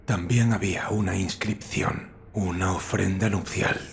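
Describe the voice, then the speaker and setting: A man playing a stoic, loyal shinobi retainer in a dubbed video game, with a gravelly voice and a terse, grave, deferential manner. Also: low-pitched, steady tone, a little slow, slight background noise. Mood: grave, dutiful.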